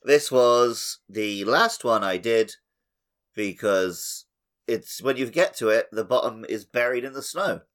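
A man's voice: medium pitch, animated, unhurried, fairly bright tone. A man hosting a podcast, chatting casually about video games. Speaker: podcast host.

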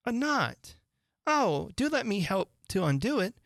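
A woman's voice: mid-range voice, sing-song, a little slow, no room sound, studio quality, pitched above the performer's own voice. A woman voicing an earnest, chatty, polite little girl in a storybook, with an eager, pleading manner. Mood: apologetic.

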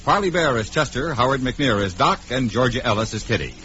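A man's voice: medium pitch, animated, fairly quick, pushed and bright. A man reading scripted credits as an announcer on a 1950s radio show. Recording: muffled vintage radio recording, faint hiss.

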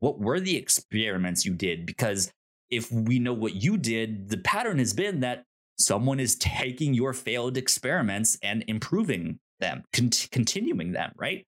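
Male podcast co-host, mid-pitched and very lively in intonation, stumbling over repeats, casual and unscripted, prone to acting out dialogue. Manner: halting, repeating words and syllables; animated, acts out imagined dialogue. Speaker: podcast co-host reviewing a TV show.

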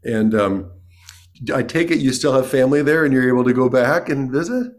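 A man's voice: mid-range voice, brisk. A man hosting a podcast, chatty and enthusiastic, often repeating words as he asks questions. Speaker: podcast host. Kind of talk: casual interview talk.

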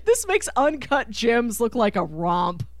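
A woman hosting a podcast, chatting casually about film in an animated, expressive way. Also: on the high side, measured pace, no echo. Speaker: podcast host.

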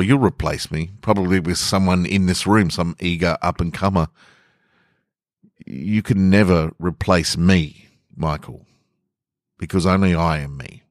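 A man's voice: low voice, dry recording, clean studio sound. A man reading a story monologue as an aggrieved, uncomfortable office employee making an earnest, defiant stand. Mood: defiant, bitter. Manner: deliberate, emphatic, earnest.

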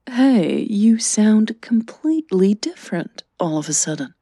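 A woman's voice: mid-range voice, very animated, measured pace, mellow, husky, dry recording, studio quality, pitched above the performer's own voice, exaggerated voice. A woman voicing an enthusiastic, affectionate middle-aged mother who encourages and teases, in an audio drama. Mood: curious, teasing. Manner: eager, affectionate.

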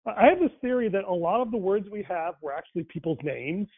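A man's voice: high voice, expressive, brisk. A man whose first language is Tamil talks casually about faith and language, thinking aloud with many fillers and restarts. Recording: tinny phone-like line, dry.

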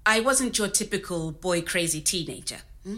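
A woman's voice: lowish voice, expressive, moderate pace, bright, forceful tone. An actress playing a frank, spirited middle-aged Zimbabwean woman recounting her youth in an interview-style audio drama, with a Zimbabwean accent.

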